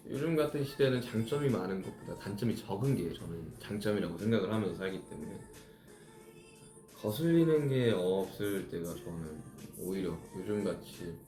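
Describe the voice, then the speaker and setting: A man in his thirties talks to fans in a lowish voice whose pitch rises and falls freely. He reflects at length on how he writes his songs. The sound is roomy, with faint music underneath. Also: warm tone. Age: 30s. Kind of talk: casual live-stream chat.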